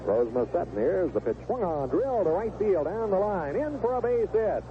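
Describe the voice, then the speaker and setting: A man's voice: high voice, sing-song, a little fast. A man calling live baseball play-by-play on the radio as the game's announcer. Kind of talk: live sports commentary. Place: stadium broadcast booth. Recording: narrow, noisy 1970s AM radio broadcast.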